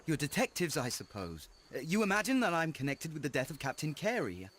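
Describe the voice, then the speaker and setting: A man's voice: a little high, sing-song, brisk, a bit bright. An actor playing a nervous young male suspect in a video game, pleading in a hesitant, stammering way. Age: young adult. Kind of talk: scripted video game acting.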